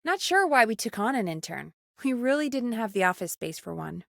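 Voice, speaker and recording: A woman playing a bossy but caring, exasperated radio show producer in a video game, brisk and expressive. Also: fairly high pitch, gravelly, no echo, studio quality, heavily acted. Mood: chatty.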